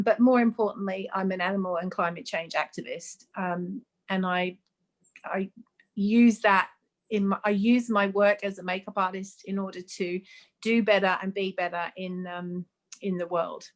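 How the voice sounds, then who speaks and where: Chatty woman in her fifties. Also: medium pitch. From born in Sweden, raised in Australia. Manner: chatty, frequent ums, earnest and grateful. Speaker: guest, makeup artist. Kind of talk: casual interview chat.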